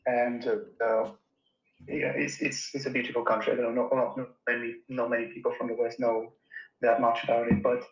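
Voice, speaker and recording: Flat-voiced young man from Romania, echoey. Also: mid-range voice, normal speed, dull audio. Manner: thoughtful, hesitant, many fillers and restarts. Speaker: engineer guest. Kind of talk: casual interview answers.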